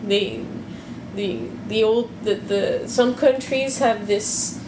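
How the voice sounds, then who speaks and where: Hesitant woman, chatting casually, unhurried, roomy recording. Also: medium pitch. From Quebec. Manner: hesitant, many restarts and fillers. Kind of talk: casual chat. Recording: echoey room with background noise.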